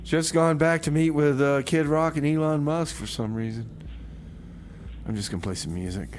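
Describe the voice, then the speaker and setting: A man's voice: on the high side, moderate pace. A man hosting a community radio show in a laid-back, informal way, with casual comic banter. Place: radio studio. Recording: faint noise on radio broadcast.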